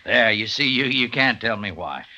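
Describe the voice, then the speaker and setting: An actor playing a brisk, skeptical police lieutenant who questions dismissively, in old-time radio drama style. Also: male voice, on the low side, animated, pushed and bright. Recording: dull vintage radio recording.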